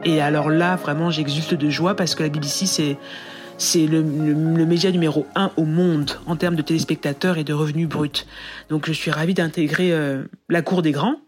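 A woman's voice: sits low, faint music behind. French woman telling her career story. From France. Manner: animated storyteller with frequent pauses and restarts. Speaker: podcast guest and author. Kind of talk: personal career story told in conversation.

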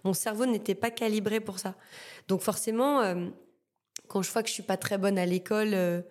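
A young woman from Martinique with a Martinican French accent, talking casually as a guest artist, halting with many fillers and repeated words. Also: medium pitch, fairly even intonation, darkish tone. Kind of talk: casual interview chat.

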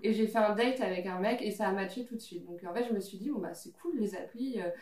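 A woman's voice: medium pitch, quick pace, darkish tone. A woman in her twenties, a podcast guest, telling stories casually with frequent laughs and fillers. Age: 20s. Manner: chatty storyteller, laughs often, many fillers. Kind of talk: casual chat among friends. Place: living room, around a coffee table. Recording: roomy sound from a distant mic.

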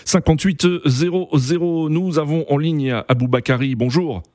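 A male radio host with a dry studio sound. He asks questions at a steady, measured pace. He fills the gaps with short words to show he is listening to the callers. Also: on the high side, no room sound. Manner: frequent brief acknowledgements, measured questions. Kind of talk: radio talk show hosting.

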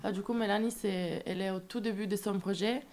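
A woman's voice: medium pitch, fairly even intonation, a little fast, a little mellow. Young woman, searching words. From Italy. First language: Italian. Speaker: band member, storyteller.

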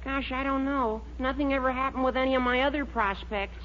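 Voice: sits high, steady tone, a little fast, natural voice. An actor playing a timid, gullible young nephew who sells insurance, with hesitant gee-whiz delivery in a 1940s radio sitcom. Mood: doubtful. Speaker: comic actor. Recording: muffled 1940s radio recording, faint noise.

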